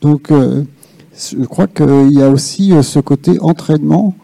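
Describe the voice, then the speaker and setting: Male discussion participant, halting and reflective, very dark timbre with gravelly creak. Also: fairly high pitch, no room sound. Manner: halting, searching for words, reflective. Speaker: audience participant in a policy workshop. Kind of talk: spontaneous spoken remarks at a public discussion.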